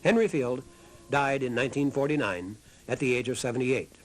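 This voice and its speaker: A man reading scripted documentary narration in a measured, earnest manner. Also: medium pitch, soft background music.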